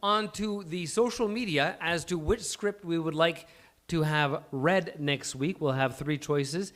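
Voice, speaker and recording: A man's voice: fairly high pitch, a little slow, a bit bright. A man hosting a live stage event with casual, chatty banter, explaining things in a loose, rambling way. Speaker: host of a live table-read show. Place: small live venue, on stage.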